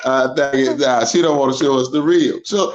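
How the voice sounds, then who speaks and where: A man's voice: medium pitch, moderate pace, lo-fi sound, background noise. A man in his fifties on a video chat, teasing in slangy banter. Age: 50s. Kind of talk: casual group video chat. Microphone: video call.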